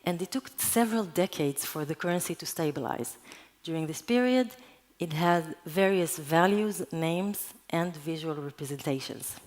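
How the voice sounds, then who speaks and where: A woman's voice: low voice, lively intonation, normal speed, a little mellow. A woman whose first language is Hebrew, giving a conference talk in an earnest, explanatory manner. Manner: earnest, explanatory, with occasional restarts. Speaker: conference speaker. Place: conference hall.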